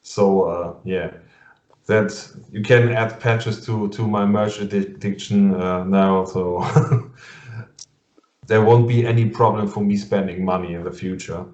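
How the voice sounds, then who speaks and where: A man with a German accent interviewing a guest over a video call in English, halting and full of fillers and restarts, laughing often. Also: lowish voice, fairly even intonation, a little mellow. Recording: muffled lo-fi sound in an echoey room.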